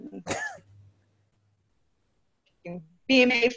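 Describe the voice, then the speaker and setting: A woman's voice: a little high, very slow, gravelly, lo-fi sound. Chatty, laughing young woman guest. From New Jersey and the U.S. Virgin Islands. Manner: animated, laughing often, lots of fillers like "like" and "you know". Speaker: interview guest. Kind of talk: casual conversational storytelling.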